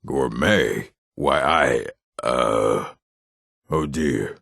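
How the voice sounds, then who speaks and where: A man's voice: extremely low pitch, animated, extremely slow, gravelly, fairly bright tone, no room sound, studio quality, heavily acted. An actor playing a timid, polite orc in a video game, hesitant and flustered as he pleads. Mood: nervous, polite. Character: timid, pleading orc gentleman, easily frightened. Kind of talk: video game character acting.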